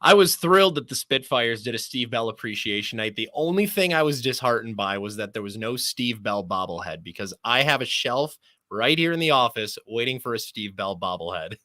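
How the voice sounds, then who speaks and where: Young guy sports announcer in an interview, bright punchy voice, articulate, humble, really dry sound. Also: medium pitch, animated, no echo. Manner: articulate, measured, humble, elaborate phrasing.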